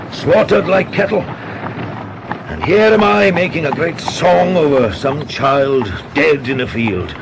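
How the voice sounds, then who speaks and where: An actor with a Welsh accent playing a weary, frustrated country doctor, grave and earnest, in a radio drama. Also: male voice, fairly high pitch, gravelly, noisy background, full character voice.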